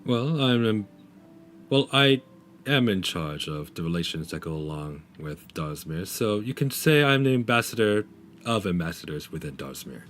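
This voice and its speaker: Man as dignified Dragonborn diplomat, tabletop fantasy, low pitch, lively intonation, polite, formal, hesitant. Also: normal speed, slight background noise. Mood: courteous, measured. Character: courteous Copper Dragonborn diplomat, dignified and welcoming.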